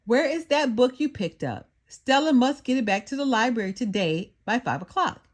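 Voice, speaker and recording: Chatty, folksy diner woman for a picture book, animated delivery , acted by a storyteller woman. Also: a little high, normal speed. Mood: urgent.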